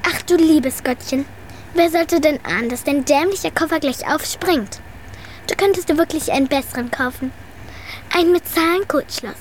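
Radio play: woman playing prim, bossy know-it-all girl, very high voice, faint noise behind. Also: normal speed, natural voice. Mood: dismissive. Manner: condescending, prim. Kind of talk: German radio drama acting.

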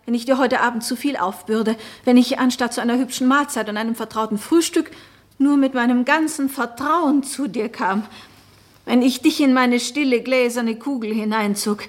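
An actress plays a married noblewoman who doubts who she is. Her voice is high and her phrasing solemn and poetic. She speaks as in a literary radio drama, earnest and pleading. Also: normal speed, close to an everyday voice. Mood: anxious pleading. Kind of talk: literary German radio play acting.

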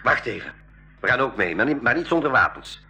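Bright-voiced, practical expedition companion. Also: male voice, mid-range voice, lively intonation, brisk, faint music behind. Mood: matter-of-fact. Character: practical, helpful expedition companion who explains things patiently. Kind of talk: 1980s school radio play acting. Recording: radio play with audible ambient noise.

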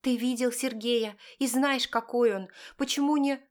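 A woman's voice: on the high side, moderate pace, darkish tone, voice pushed up, lightly acted. A woman voicing an emotional, pleading teenage daughter with breathless exclamations in an audiobook drama. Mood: despairing, pleading.

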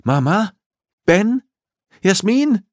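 A man's voice: high-pitched, sing-song, extremely slow, no room sound, studio quality, pitched above the performer's own voice, heavily acted. A man voicing a frightened, desperate girl in an audiobook, urgent and calling out. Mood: desperate shouting. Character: frightened, desperate girl searching for her family. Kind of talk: audiobook character voice.

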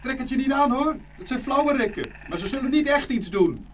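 Male narrator as small friendly village boy, very high voice, calling reassuringly, storybook, slight noise. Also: expressive, brisk. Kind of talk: storyteller voicing a character.

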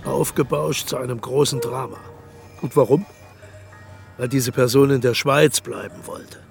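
An actor playing an eccentric aging dictator who waves off scandals as trivial, in a radio drama. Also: male voice, medium pitch, steady tone, a little slow, vocal fry. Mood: dismissive. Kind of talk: radio play acting and voice-over translation. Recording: radio play with music underneath.

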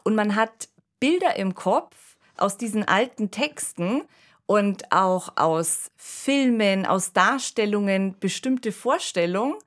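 A woman's voice: medium pitch, animated, takes it slowly. A woman co-hosting a podcast in an easy conversational style. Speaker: podcast co-host.

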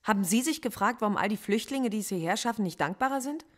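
Radio drama: female actor as middle-aged intellectual professor under questioning, defiant, measured, argumentative, mid-range pitch. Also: animated, lightly acted. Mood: bitter, lecturing. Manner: measured, argumentative, coolly articulate. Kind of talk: German radio play acting.

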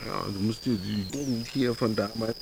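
An actor playing a colloquial 51-year-old longtime resident of a working-class quarter, chatting as an interviewee in a radio drama. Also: male voice, on the low side, moderate pace, gravelly, noisy background. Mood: reminiscing. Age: 50s. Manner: colloquial, plain, reminiscing.

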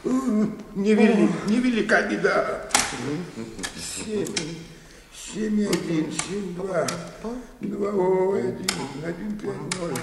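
An actor playing a sour, fussy elderly bookkeeper in a radio play, grumbling and halting as he repeats himself. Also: high voice, gravelly, a crowd in the background, full character voice. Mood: muttering, fussy. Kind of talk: theatrical radio play acting. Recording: echoey hall, long reverb.

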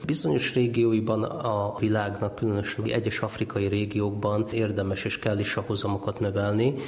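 A man's voice: lowish voice, level tone. A man giving explanatory answers in a radio interview as an expert, posing questions and answering them himself. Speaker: ecologist and university lecturer, interviewee.

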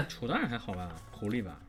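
A man's voice: mid-range voice, wildly swooping pitch, moderate pace, music in the background. A man, a voice actor, chatting and joking casually as an interview guest.

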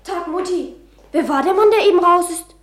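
High-pitched, worried schoolgirl. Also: normal speed. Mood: alarmed, questioning. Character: worried, insistent schoolgirl, young daughter. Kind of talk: German radio drama acting.

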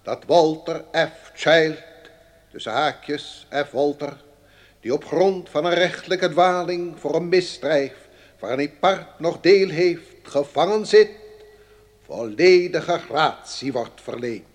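Slow, pompous, higher-voiced governor. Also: male voice. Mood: solemn, pompous. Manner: pompous, solemn, declamatory. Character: pompous male state governor proclaiming an official pardon. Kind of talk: radio drama official proclamation.

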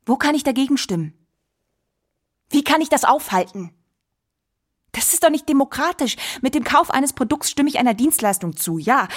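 Anguished, guilt-ridden woman on stage. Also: sits high. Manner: exclamatory, repetitive, swinging between whisper and outburst. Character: anguished, guilt-ridden woman activist, agitated and self-reproaching. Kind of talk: theatrical stage monologue.

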